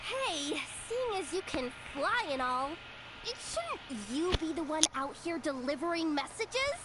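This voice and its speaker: Very high-pitched, flustered little scout. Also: female voice, big pitch swings, slow pace, over a noisy crowd, heavily acted, poor audio. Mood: impatient. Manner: exclamatory, hesitant, flustered.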